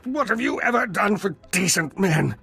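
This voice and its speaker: A man's voice: high-pitched, animated, moderate pace, gravelly, pushed and bright, full character voice. An actor playing a scornful, self-righteous villainous nobleman in a video game, theatrical and sardonic. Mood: bitter, dying fury. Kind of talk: dramatic video game acting. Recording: music behind the voice.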